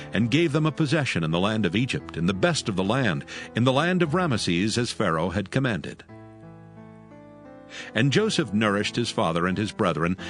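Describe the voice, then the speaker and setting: A man with a low voice narrates scripture solemnly. His intonation moves expressively across each verse, and music plays softly beneath.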